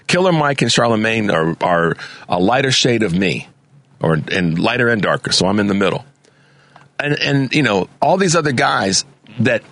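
A man's voice: medium pitch, a bit bright, no room sound. A man hosting a talk radio show, delivering a fervent, preachy political monologue and repeating words as he gets worked up. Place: radio studio. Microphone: studio mic.

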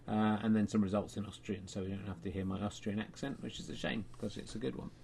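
A man hosting a comedy show, reading out made-up football scores in a dry, deadpan, rambling way. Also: lowish voice, fast, gravelly, breathy edge. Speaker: comedy podcast host. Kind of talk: mock football results reading and chat.

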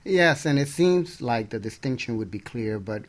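A man's voice: mid-range voice, expressive, normal speed. A man co-hosting a talk radio show in a partly scripted presenting style.

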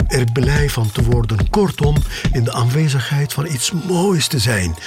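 Passionate collector over loud music. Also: male voice, high-pitched. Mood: tender, reverent. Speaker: voice actor in an audio drama. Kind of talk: dramatic monologue in a radio play.

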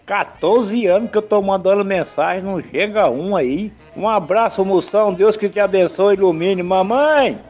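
Brazilian guy voice message, highish voice, muffled phone audio, faint music, casual. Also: moderate pace, hardly any pauses, darkish tone. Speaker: listener leaving a voice message. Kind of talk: casual chat.

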